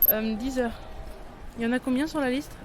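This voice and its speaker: A woman's voice: high voice, brisk, a little mellow, a little hiss or hum, close to an everyday voice. A woman playing a composed, matter-of-fact police officer in an audio drama. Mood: businesslike. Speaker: voice actor.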